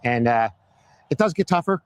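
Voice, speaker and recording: Hoarse guy hosting a live stream outside, upbeat, keeps repeating stuff for emphasis. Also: medium pitch, animated, moderate pace. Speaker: host. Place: outdoors at a Christmas light display by a road.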